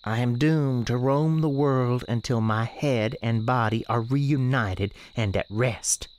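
A woman's voice: very deep voice, slow, a little mellow. A woman playing the restless, defiant ghost of a sixteen-year-old novice nun in a radio drama, earnest and plaintive, with folksy phrasing. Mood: bitter, doomed. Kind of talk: dramatic radio theatre dialogue.

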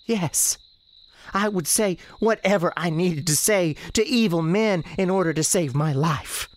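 A woman's voice: on the low side, unhurried, no room sound, lightly acted. A woman playing the restless, defiant ghost of a sixteen-year-old novice nun in a radio drama, earnest and plaintive, with folksy phrasing. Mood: wounded, defiant. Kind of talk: dramatic radio theatre dialogue.

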